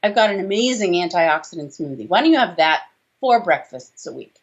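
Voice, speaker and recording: An American woman with a fairly low voice speaks as an expert guest in an interview. She explains emphatically, checking in with short tag questions. The video-call audio is a little dull. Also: big pitch swings, a little fast. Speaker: doctor, interview guest, author. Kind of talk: conversational interview answers on health. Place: small room indoors, on a video call.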